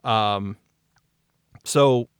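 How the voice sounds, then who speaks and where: A middle-aged man talking casually as a voice actor and creator in a cast discussion, telling stories in an animated way with mild swearing. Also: fairly low pitch, very slow, clear, resonant tone, no echo, studio quality. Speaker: voice actor and audio drama creator.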